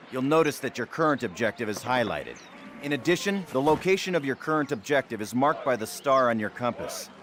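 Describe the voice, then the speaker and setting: An actor playing a gruff, bossy World War II drill instructor with an American accent, giving orders in a military game. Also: male voice, medium pitch, natural voice. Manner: commanding, taunting, barking. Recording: noisy, gunfire and clicks behind.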